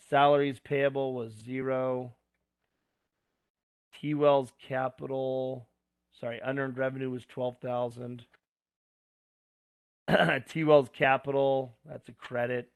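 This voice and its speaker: A gravelly, hoarse man talks through accounting homework one entry at a time, in plain words with small asides. He sounds close and dry, with no room around his voice. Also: medium pitch, fairly even intonation, slow pace, pauses often, no room sound. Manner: plain step-by-step talk with asides and fillers. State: going hoarse. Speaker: instructor. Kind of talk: screen-recorded homework walkthrough.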